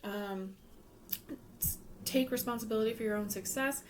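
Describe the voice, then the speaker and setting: A woman giving a casual tarot reading, losing her voice, with many pauses and ums. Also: on the high side, slight background noise, rough recording. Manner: relaxed, many ums, repeats words. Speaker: tarot reader.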